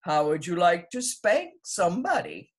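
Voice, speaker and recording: A woman voicing a wise, teasing rock snake in a children's storybook, speaking in a measured, instructive way. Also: fairly low pitch, sing-song. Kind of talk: children's storybook read aloud.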